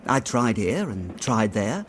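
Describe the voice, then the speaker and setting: An actor playing an earnest, worried young city clerk in a radio drama, chatty and slangy but deferential. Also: male voice, lowish voice, big pitch swings, slow pace, a little hiss or hum. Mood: rueful. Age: young adult.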